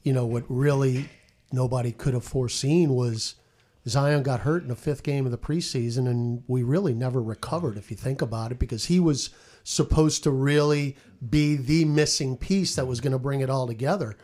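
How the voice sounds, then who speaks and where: A man's voice: mid-range voice, normal speed, dark tone. A man talking casually in a sports roundtable as a radio announcer on the panel.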